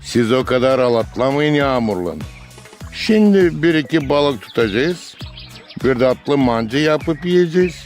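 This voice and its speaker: An actor playing a patient grandfather in a cartoon, telling stories in a gentle, unhurried way. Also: medium pitch, expressive, soft background music, close to an everyday voice. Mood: warm. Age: older. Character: kindly grandfather figure, patient elder. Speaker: grandfather character. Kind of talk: cartoon voice acting.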